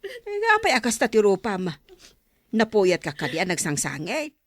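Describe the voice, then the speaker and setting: A woman's voice: mid-range voice, wildly swooping pitch, normal speed, no echo. A woman playing a concerned, sympathetic mother in a radio-style melodrama, full of exclamations and sighs.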